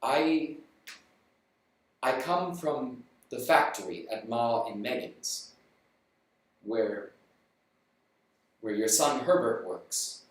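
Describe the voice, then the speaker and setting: A storyteller voicing a nervous, solemn man from a factory who speaks haltingly. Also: mid-range voice, pitched below the performer's own voice. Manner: hesitant, halting. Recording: roomy, distant mic.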